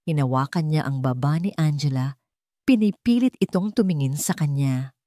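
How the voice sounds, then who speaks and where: A woman's voice: sits low, normal speed, warm tone, dry recording, studio quality. A woman storyteller reading a scripted romantic drama in a dramatic narrating style.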